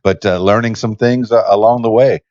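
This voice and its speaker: A man's voice: fairly low pitch, steady tone, a little fast, darkish tone, no echo. A man from Miami with Cuban parents, hosting a casual podcast chat, hesitant and stammering, often calling his guest "man". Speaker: podcast host. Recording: dry, dull lo-fi audio.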